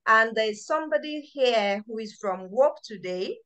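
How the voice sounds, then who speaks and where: Woman with a higher voice, excitable online host, heard over a video call. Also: unhurried. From Nigeria. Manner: chatty and excitable, repeats words when starting sentences. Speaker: lecture chair and chief speaker. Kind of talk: casual hosting of an online lecture.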